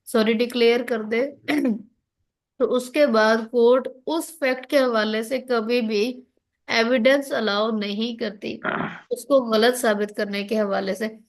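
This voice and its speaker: A woman's voice: fairly high pitch, steady tone, slow, low-quality recording. A woman with a Pakistani accent giving an online law lecture, explaining step by step, reading out articles and checking that students follow. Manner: instructional, checks understanding often, mixes in English legal terms. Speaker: law exam teacher.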